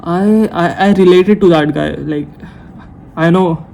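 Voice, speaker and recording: A man's voice: on the high side, unhurried, creaky, mellow, a little hiss or hum. A nineteen-year-old man talking to the camera late at night without a script, rambling and hesitant, often correcting himself. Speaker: YouTuber. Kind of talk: unscripted talk to camera. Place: room at night. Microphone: camera mic.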